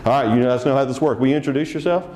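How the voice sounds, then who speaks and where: A man's voice: mid-range voice, rapid-fire, mellow, in a large hall. A man with a Southern Texan accent giving an interactive business seminar, coaxing the audience to answer and cracking jokes. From Texas. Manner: upbeat, coaxing the audience, joking. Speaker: seminar speaker.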